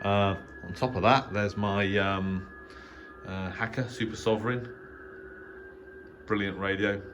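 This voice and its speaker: A middle-aged man with an English accent speaks in a very low register. He talks off the cuff like a hobbyist giving a tour, understated and rambling, with frequent hesitations. The home recording has clearly audible background noise. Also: steady tone, a little slow. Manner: hesitant, understated, rambling delivery with trailing asides. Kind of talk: casual unscripted video tour. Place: indoor home radio shack.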